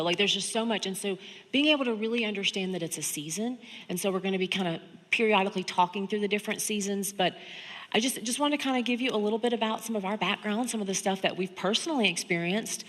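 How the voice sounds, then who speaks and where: An American woman in her thirties talks to a seminar in a conversational, candid way. Her pitch is average for a woman, and the room adds a long hall reverb. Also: a little fast. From Georgia, United States. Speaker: seminar speaker and sex therapist. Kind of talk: informal seminar talk, personal storytelling. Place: on stage in an auditorium, seated at a high table. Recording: echoey hall, stage sound.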